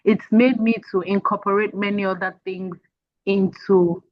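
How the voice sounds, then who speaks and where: A woman's voice: medium pitch, steady tone, moderate pace, dry recording, highs cut off. A woman from Nigeria in her thirties, an emotional wellness coach chatting earnestly as an interview guest and laughing easily. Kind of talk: casual interview chat.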